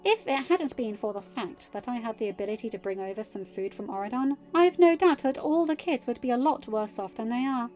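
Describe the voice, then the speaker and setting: Woman voicing composed goddess queen, dry, measured, quietly cutting, high voice, soft background music. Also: animated, lightly acted. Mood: cool. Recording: muffled audio with soft music.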